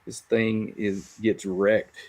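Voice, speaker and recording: Low-voiced, enthusiastic American man. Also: level tone, slow pace, a little mellow, rough recording. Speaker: fishing podcast panelist. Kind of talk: casual chat about fishing gear.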